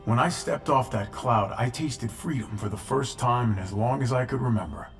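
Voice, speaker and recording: An actor playing a wary, wry freed pilot and reluctant hero in a video game cutscene. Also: male voice, mid-range voice, normal speed, a bit bright, soft background music, lightly acted.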